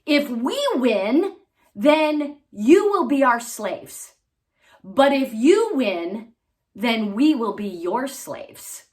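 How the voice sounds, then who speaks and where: Giant bully warrior, slow booming taunts, played by a woman storyteller. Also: big pitch swings, darkish tone, full character voice. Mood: taunting. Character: huge, mocking male giant warrior.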